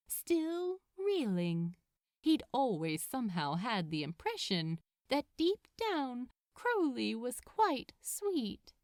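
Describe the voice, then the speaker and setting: A woman reading fiction aloud as a narrator, in an expressive storytelling style. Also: medium pitch, no room sound, clean studio sound. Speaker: podfic narrator.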